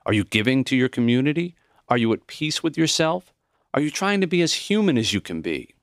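A man voicing a kind, wise old dying professor in an audiobook, with a high, smoky voice and an earnest, teacherly manner. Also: very animated, brisk, dry recording, clean studio sound. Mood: gently probing.

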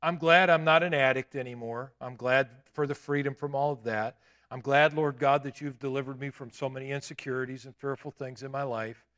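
A man in his late fifties preaching a sermon in a church, with emphatic declarations and quieter asides. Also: medium pitch, steady tone, a little fast. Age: 50s. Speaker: pastor. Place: church sanctuary.

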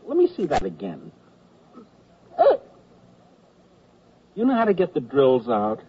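An actor playing an irascible, sarcastic army captain who works as a dentist, in old-time radio comedy. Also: male voice, medium pitch, huge pitch swings, measured pace, husky, exaggerated voice. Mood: blustering, exasperated. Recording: dull vintage radio recording.

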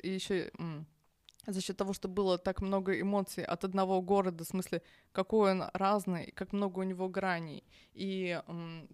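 A woman guest chatting casually, telling a travel story quickly with many restarts. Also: medium pitch, no echo, studio quality. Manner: fast, lively storytelling with many restarts and laughs. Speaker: podcast guest. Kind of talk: casual conversation.

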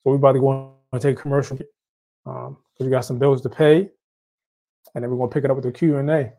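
A man's voice: mid-range voice, little pitch movement, fast, mellow. A man from Haiti with a Haitian accent, hosting a casual question-and-answer show on credit, talking loosely and often restarting his phrases. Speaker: host.

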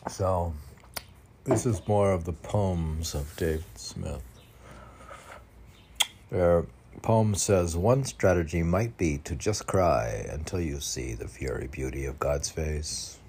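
Slow-paced, low-voiced man reciting poetry. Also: creaky, darkish tone, slight background noise. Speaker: poet reading own work. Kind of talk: recited spiritual poetry reading.

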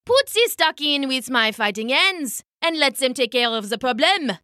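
A woman voicing a greedy old king who hoards gold, grumbling and drawling slowly. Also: high-pitched, big pitch swings, bright, forceful tone, dry recording, clean studio sound, pitched above the performer's own voice, full character voice. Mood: commanding.

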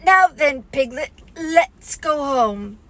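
A woman voicing a gentle, humble male bear who thinks aloud slowly. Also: high voice, lively intonation, voice pushed up, full character voice. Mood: musing. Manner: slow, thoughtful, humble.

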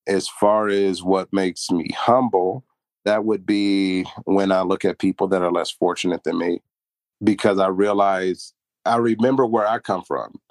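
A man's voice: sits low, dry recording. A young man talking earnestly and reflectively about pride and humility in a casual podcast chat. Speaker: podcast co-host.

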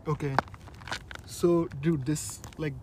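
A young man from Mumbai speaks in a casual, rambling way with an Indian accent. His voice sits a bit higher than most men's, and his sentences are full of "dude", "like" and restarts. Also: fairly even intonation, takes it slowly, noisy background. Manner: rambling, says "dude" and "like" often, hesitant restarts. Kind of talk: casual conversation.

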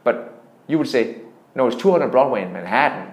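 A man's voice: medium pitch, animated, a little fast. A man with a Danish accent explaining things in an interview as a startup founder, emphatically and through examples. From Denmark. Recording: echoey room.

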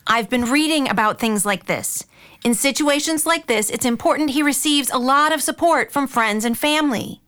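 A woman's voice: high voice, normal speed, fairly bright tone. A woman playing a caring but exasperated middle-aged mother and aunt in an audio drama, earnest and firm. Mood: earnest, pleading.

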